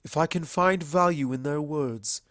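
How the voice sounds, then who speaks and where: A man voicing an ancient female pony princess in a storybook reading, speaking formally and with measured, earnest eloquence. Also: on the high side, fairly bright tone, no echo, studio quality. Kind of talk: fan fiction audiobook character acting.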